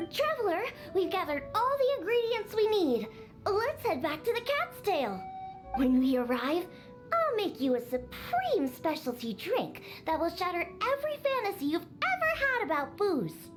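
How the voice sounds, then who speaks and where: A woman's voice: extremely high pitch, wildly swooping pitch, unhurried, over music, exaggerated voice. A woman voicing a bossy, proud young cat-girl bartender in a video game, sassy and scolding. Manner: sassy, boastful, matter-of-fact scolding.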